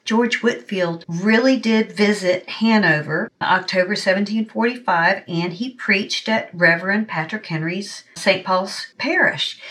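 A woman presents a history segment in a conversational, explanatory way. Her voice is medium in pitch, and she talks like a presenter sharing background. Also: a bit bright.

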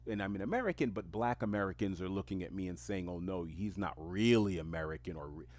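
A man with a very low, deep voice talks on his own podcast. He rambles in a reflective stream of consciousness and leans on repeated phrases for emphasis. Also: fast, darkish tone, dry recording. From New York City, Queens. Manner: reflective, emphatic, rhetorical repetition. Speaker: podcast host. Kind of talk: solo stream-of-consciousness monologue.

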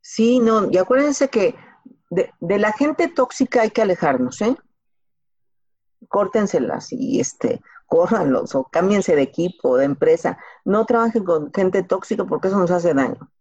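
A woman's voice: medium pitch, animated, measured pace, darkish tone. A woman with a Mexican accent answering casually as an interview guest, colloquially, with examples and rhetorical questions, on a slightly lo-fi recording. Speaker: podcast guest giving career advice. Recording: slightly dull, lo-fi sound.

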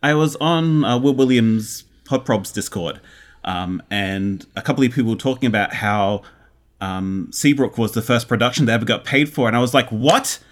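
An Australian man chatting casually as an interview guest, conversational and animated. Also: mid-range voice, normal speed. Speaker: interview guest, audio drama producer.

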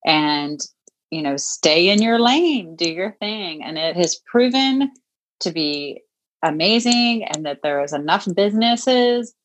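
A woman's voice: lowish voice, animated, slow pace. A woman in her fifties talking as a guest in a casual interview, chatty and reflective, with many fillers. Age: 50s. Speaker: podcast guest, boutique owner.

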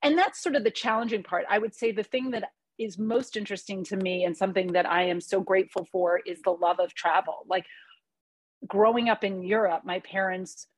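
A woman in her forties, born in the United States with Hungarian as her first language, talking candidly as a podcast guest, often pausing with fillers and restarts. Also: medium pitch, steady tone, no echo. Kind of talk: conversational interview.

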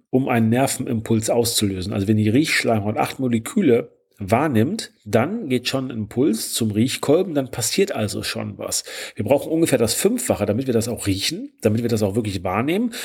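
A man's voice: mid-range voice, darkish tone, husky. A male podcast host with a stuffy nose from a cold, explaining a topic in a chatty solo talk with frequent filler sounds.

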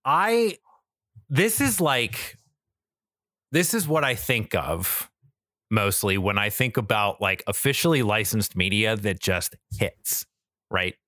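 An American man in his thirties with a lowish, bright, forceful voice in a very dry recording. He talks casually and eagerly as a podcast co-host, rambling along and swearing freely. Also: very animated, takes it slowly, no echo. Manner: enthusiastic, rambling, profane. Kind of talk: casual chat.

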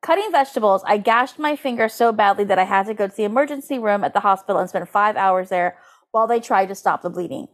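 A woman co-hosting a podcast in casual comedic chat, animated and giggly, sprinkling her sentences with "like". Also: mid-range voice, fast. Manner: animated, giggly, uses "like" constantly. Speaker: podcast co-host. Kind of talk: casual comedic chat, recapping and reading aloud.

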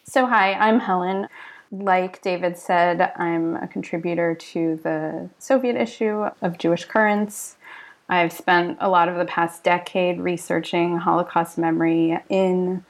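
A woman's voice: fairly low pitch, steady tone, a little slow. A woman speaking as a panelist on a podcast, phrasing her thoughts carefully. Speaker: panelist, writer and teacher. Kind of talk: casual panel discussion.

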